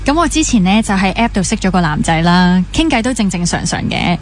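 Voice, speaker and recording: A chatty young woman played by an actress in a radio drama, speaking Hong Kong Cantonese with English words mixed in. She is pampered and keen to gossip about dates, in a mid-pitched voice over faint background noise. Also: lively intonation, brisk, close to an everyday voice. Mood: animated gossiping. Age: young adult. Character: chatty young woman, dating-obsessed, pampered only child. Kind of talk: naturalistic radio drama acting.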